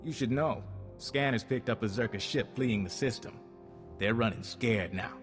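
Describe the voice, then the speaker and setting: An actor playing a friendly, wry intelligence agent in a video game. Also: male voice, mid-range voice, moderate pace, long reverb tail, music in the background, close to an everyday voice. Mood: friendly, relieved.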